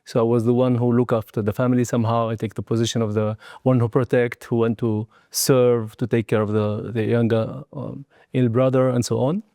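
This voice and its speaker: A man's voice: mid-range voice, level tone, brisk, vocal fry, mellow, dry recording, clean studio sound. A man in his sixties from Tunisia, a non-native speaker, talking reflectively as an interview guest in hesitant, broken phrasing. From southern Tunisia. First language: not English. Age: 60s. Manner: hesitant, broken phrasing in non-native English, frequent "you know" and "eh" tags. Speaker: guest, martial arts and Qigong teacher. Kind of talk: reflective personal interview talk.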